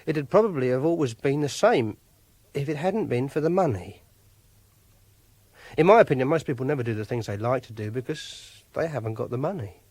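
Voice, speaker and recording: An actor playing an obsessive, lonely, self-pitying English clerk, confiding haltingly in a first-person radio drama monologue. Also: male voice, mid-range voice, lively intonation, darkish tone, no echo, natural voice. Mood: bitter, resigned.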